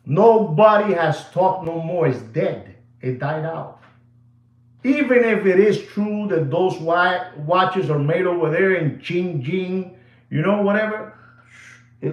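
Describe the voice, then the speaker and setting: A man, ranting casually to viewers in a rambling way and slipping into Spanish phrases. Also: fairly high pitch. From New York, USA. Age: 60s. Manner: animated and rambling, mixes in Spanish phrases, addresses viewers as "papi". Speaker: watch dealer, show host. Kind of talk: casual rant to camera. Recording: echoey room.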